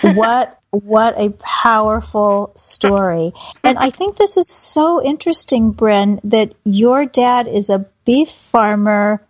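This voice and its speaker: A woman's voice: medium pitch, lively intonation, slow, no room sound. Woman host on a dull, dry line. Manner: encouraging, frequent affirmations. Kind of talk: interview questions.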